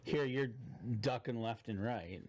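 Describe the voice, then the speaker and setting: A man's voice: mid-range voice, unhurried, darkish tone. A man co-hosting a casual podcast, chatting in an easygoing way and plugging sponsor codes. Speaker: podcast co-host. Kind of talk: casual live podcast chat. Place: cabin.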